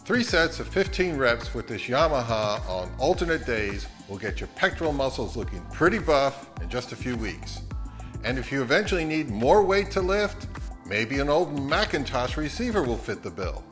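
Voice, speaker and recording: A middle-aged man giving scripted, tongue-in-cheek instructions to viewers in a measured, deadpan way. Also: mid-range voice. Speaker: host of a hobby audio channel. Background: music.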